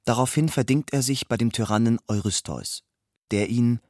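A man narrating a literary text in measured, deliberate phrases, moving between storytelling and quotation. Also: medium pitch, darkish tone, no echo, studio quality.

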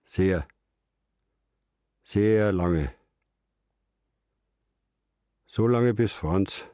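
A man's voice: deep voice, very slow, dark tone, clear, resonant tone, dry recording, studio quality. An actor playing a stubborn, laconic elderly father and former fisherman in a radio drama. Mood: brooding. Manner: laconic, clipped phrases. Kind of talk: radio drama narration and dialogue.

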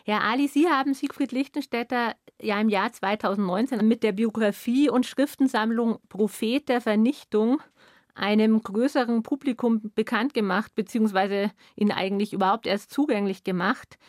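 A woman with a somewhat high voice hosts a radio interview. She phrases her prepared questions politely and formally, recorded dry with little room sound. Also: moderate pace, no echo.

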